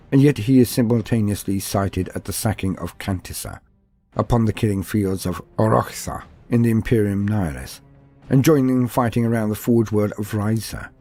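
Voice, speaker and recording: A man's voice: lowish voice, warm tone. A man giving a scripted, dramatic narration of fantasy war lore. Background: music.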